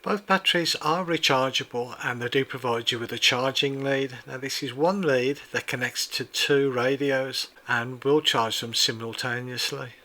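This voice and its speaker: A man narrating a scripted product review, giving measured, precise step-by-step instructions. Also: mid-range voice, fairly even intonation, bright, forceful tone.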